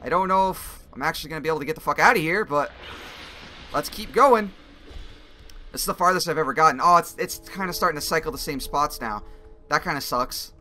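Young guy on a headset doing game commentary, bit raspy, bright voice, gets loud and swears when he messes up. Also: a little high, brisk, soft background music. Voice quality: slightly raspy. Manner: excitable, swears and yells when he fails. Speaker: gaming video creator. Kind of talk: casual let's-play commentary. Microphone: headset mic.

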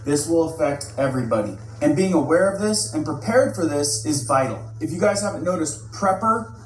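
Man in his thirties, alarmed vlogger, fairly high voice, phone close up in a car, echoey cab. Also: lively intonation, brisk, slight background noise. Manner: alarmed, emphatic, repetitive warnings. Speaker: prepping vlogger. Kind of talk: urgent news-style vlog. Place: inside a parked vehicle.

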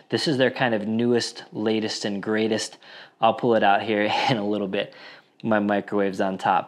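A man with an American accent giving a casual, informal gear walkthrough as a vlogger. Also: lowish voice. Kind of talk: casual product walkthrough vlog. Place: inside and on top of a metal shipping container.

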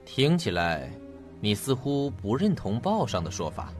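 A man's voice: mid-range voice, very animated, measured pace, darkish tone, faint music behind. An actor playing a paternal, somewhat uneasy middle-aged husband and father in a radio drama. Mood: probing, uneasy.

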